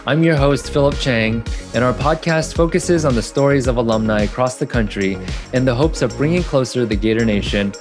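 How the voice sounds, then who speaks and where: A man's voice: mid-range voice, fairly quick, over music. A man hosting an alumni podcast, interviewing a guest in casual chat over a video call and laughing easily. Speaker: podcast host.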